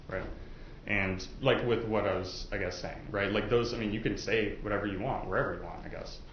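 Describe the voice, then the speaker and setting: A low-voiced man works through courtroom questioning in a loose, conversational style, hedging and restarting as he explains. The room is echoey, the sound is slightly dull, and there is faint noise behind him. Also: steady tone. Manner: halting, conversational, hedging with "I guess" and "you know". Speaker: lawyer questioning prospective jurors. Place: indoor courtroom.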